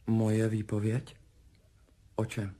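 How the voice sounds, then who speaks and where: Actor as a mellow, husky, defensive pilot. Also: male voice, lowish voice, monotone, natural voice. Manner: clipped, dry, deferential. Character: subdued, defensive young pilot under questioning by superiors. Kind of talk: 1970s radio drama acting.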